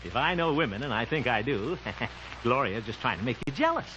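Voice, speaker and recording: An actor playing a jovial, self-satisfied small-town bachelor in an old-time radio comedy, with a folksy, chuckling, self-assured manner. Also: male voice, medium pitch, animated, a little fast, sharp tone. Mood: breezy, self-assured. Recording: muffled, noisy old-time radio recording.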